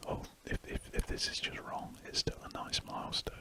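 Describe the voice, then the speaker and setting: Softly whispering man, ASMR host. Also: brisk. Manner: soft unvoiced whisper, rambling and self-correcting. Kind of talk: whispered ASMR drawing chat.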